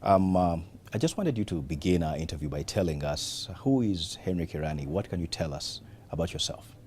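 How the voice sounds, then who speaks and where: A man with an exceptionally deep voice conducts a formal interview. He poses his questions as an interviewer would, with slight ambient noise behind him. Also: lively intonation, a little fast, darkish tone.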